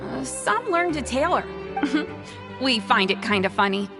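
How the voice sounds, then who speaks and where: A woman's voice: high-pitched, sing-song, unhurried, exaggerated voice. A woman playing a polite, contented society member in an anime video game, speaking in a genteel, thoughtful manner. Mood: friendly, content. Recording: clear music behind the voice.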